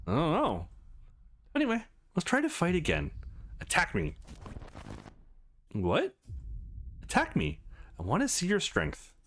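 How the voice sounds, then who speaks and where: A man's voice: fairly high pitch, wildly swooping pitch, unhurried, pauses often, fairly bright tone, voice pushed up, heavily acted. A man voicing a tough young woman combat trainer in a visual novel, commanding, teasing and encouraging. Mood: challenging. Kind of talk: visual novel read-aloud.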